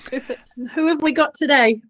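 A woman co-hosting a casual interview podcast from home, chatting brightly and laughing easily. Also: sits high, moderate pace, no echo, low-quality recording. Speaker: co-host.

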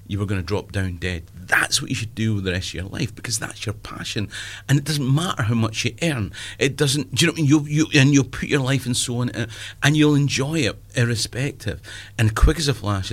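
A Scottish man in his fifties, a stand-up comedian chatting in an interview, self-deprecating and full of asides. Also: mid-range voice. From Scotland. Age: 50s. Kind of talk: casual interview chat.

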